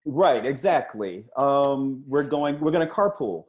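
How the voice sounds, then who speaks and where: A man hosting a casual interview, asking questions in a halting, conversational way. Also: mid-range voice, lively intonation, over a noisy crowd.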